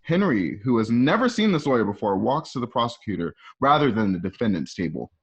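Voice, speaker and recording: A slightly muffled, lo-fi home recording of a man narrating a radio drama. He has a mid-pitched voice and reads scene directions, sometimes tripping over a phrase and fixing it. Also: sing-song, fairly quick, breathy edge. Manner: stumbles and corrects himself while reading. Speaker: narrator reading screen directions.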